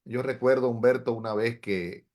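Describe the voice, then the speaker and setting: A man's voice: medium pitch, fairly even intonation, moderate pace, a little mellow. A middle-aged man, a pastor, telling his story in an interview in an earnest, reflective way. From Dominican Republic. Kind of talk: interview testimony.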